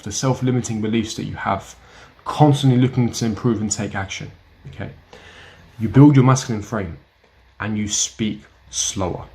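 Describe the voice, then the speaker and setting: A man's voice: on the low side, a little mellow. A young man giving blunt, emphatic self-help advice straight to camera, repeating his key points. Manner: blunt, emphatic and repetitive, lecturing the viewer. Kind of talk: direct-to-camera advice talk.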